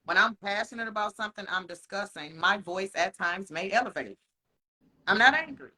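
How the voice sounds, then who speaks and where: A man co-hosting a podcast in casual chat. He talks frankly and swears a lot, laughs often, and slows down to stress his points. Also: high-pitched, expressive, bright, forceful tone. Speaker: podcast co-host.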